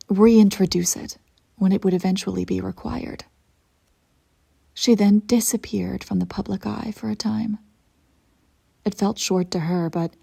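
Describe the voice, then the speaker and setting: A woman playing a ruthless, self-satisfied immortal evil empress in a scripted roleplay monologue, telling her tale in a haughty, intimate, theatrical way with a soft, husky, breathy voice. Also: mid-range voice. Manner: deliberate dramatic storytelling, haughty and intimate.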